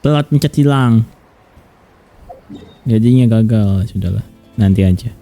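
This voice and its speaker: A man with an Indonesian accent giving casual gameplay commentary in a husky voice. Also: lowish voice, normal speed, warm tone. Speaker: game streamer. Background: game music.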